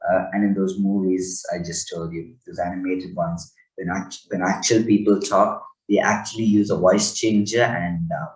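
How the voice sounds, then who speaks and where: A man giving a loose, rambling software tutorial and correcting himself as he goes. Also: low voice, steady tone, normal speed, few pauses, low-quality recording. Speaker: tech channel host. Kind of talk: casual screen tutorial.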